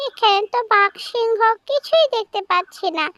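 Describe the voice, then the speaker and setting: A performer voicing a naive, stubborn little goat kid in a children's cartoon, squeaky and childlike, with a wheedling manner. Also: animated, normal speed, pushed and bright, no room sound, studio quality, heavily acted. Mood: curious wonder. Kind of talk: children's animated story dialogue.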